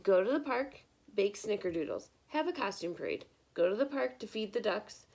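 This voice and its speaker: A woman reading a picture book aloud like a teacher, expressive and encouraging, pausing to put questions to young listeners. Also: mid-range voice, gravelly.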